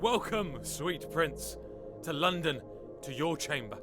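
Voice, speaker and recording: A man's voice: a little high, lively intonation, slow pace, over music. A man playing a commanding, scheming nobleman, speaking persuasive, declamatory verse in a Shakespearean audio drama. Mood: grand welcome. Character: commanding, scheming duke, smoothly argumentative nobleman.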